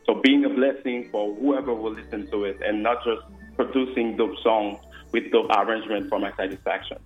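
A man's voice: on the low side, fairly even intonation, brisk, echoey room, dull audio, faint music behind. A man from Haiti, a French and Creole speaker, answering radio interview questions earnestly with many fillers. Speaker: guest musician and worship leader.

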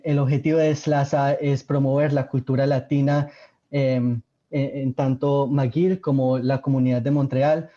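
A young man hosting a student radio show, thanking his guests and handing over in a relaxed, welcoming way. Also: medium pitch. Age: young adult. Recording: dull lo-fi sound.

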